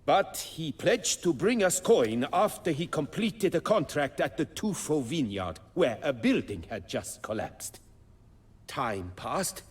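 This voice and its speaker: An actor playing a proud, wistful master armorer in a video game, telling tales in a formal, measured manner. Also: male voice, a little high, sing-song, slightly rough, heavily acted. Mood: measured, recounting. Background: music.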